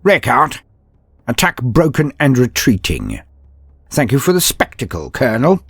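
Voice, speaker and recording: A man's voice: medium pitch, wildly swooping pitch, unhurried, gravelly, slightly rough, no echo, voice pushed up, heavily acted. A man voicing a bold, wry military commissar in an audio story, with clipped delivery. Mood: confident, wry. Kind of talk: dramatic audio story character voice.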